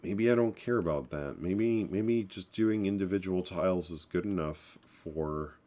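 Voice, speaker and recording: A man in his forties talks through his code on a live stream, his voice sitting very low and sounding stuffed up and congested. He rambles, circling back with fillers and restarts. Keys clatter now and then behind him. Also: unhurried, mellow. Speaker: programming livestream host. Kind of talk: casual live coding talk.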